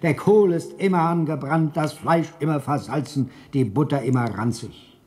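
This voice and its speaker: An actor playing a pompous, indignant council chairman in a radio play, formal, officious and deliberate. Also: on the high side, darkish tone, close to an everyday voice.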